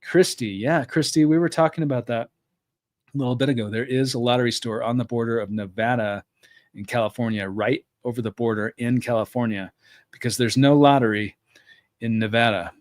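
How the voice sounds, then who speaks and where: A man's voice: mid-range voice, fairly quick. A middle-aged man hosting a live stream, reading viewer comments aloud and answering them in a friendly, thinking-aloud way. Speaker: podcast host reading live chat.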